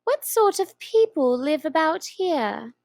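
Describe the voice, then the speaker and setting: A polite, curious young girl from a storybook, as a woman reads her lines, asks her questions in a prim, earnest way. The voice sits high and moves a lot. It sounds close and dry. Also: very animated, slow pace, darkish tone, dry recording, heavily acted. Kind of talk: storybook reading.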